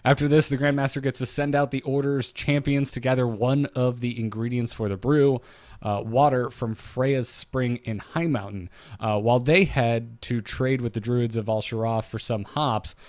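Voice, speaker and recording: A man's voice: medium pitch, steady tone, moderate pace, darkish tone, dry recording. A man co-hosting a podcast, talking informally and with enthusiasm as he recaps and discusses game lore as a fan. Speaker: podcast co-host.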